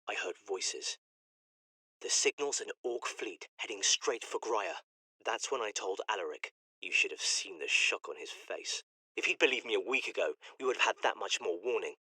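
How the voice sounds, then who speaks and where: An aggrieved technician logging in a sci-fi game. His voice is low, rough and pressing, as he insists and justifies himself. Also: sharp tone, no echo, heavily acted. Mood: vindicated, bitter. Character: defensive, aggrieved male sci-fi communications technician.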